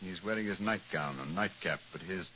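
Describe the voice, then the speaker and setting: An actor playing a keen, commanding British detective in 1940s radio style, speaking in a crisp, deliberate, authoritative manner. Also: male voice, low-pitched, sharp tone. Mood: analytical, brisk. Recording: dull, noisy old radio recording.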